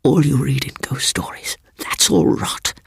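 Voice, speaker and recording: A man voicing a secretive, bitter, frightened schoolboy with a British accent and hushed, breathy delivery in a story reading. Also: mid-range voice, a little slow, no echo, in a whisper, clean studio sound, voice pushed up, heavily acted. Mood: hushed, eerie.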